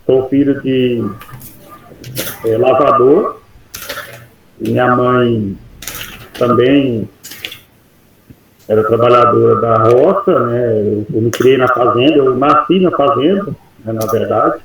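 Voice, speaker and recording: Gravelly man from telling his story. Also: mid-range voice, heavy reverb, lo-fi sound, a little hiss or hum. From Goiás, Brazil. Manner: unhurried, rambling, folksy storytelling with soft laughs. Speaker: mentee teacher. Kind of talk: personal life story in an online meeting.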